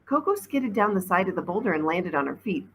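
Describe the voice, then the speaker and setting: A woman reading a children's novel aloud as an expressive storybook narrator, like a teacher reading to a class. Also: fairly low pitch, brisk, a little hiss or hum.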